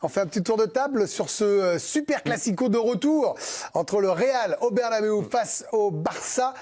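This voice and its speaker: High-voiced joking male show host. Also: animated, normal speed, a little mellow. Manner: jokey, teasing panelists. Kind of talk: lively studio debate.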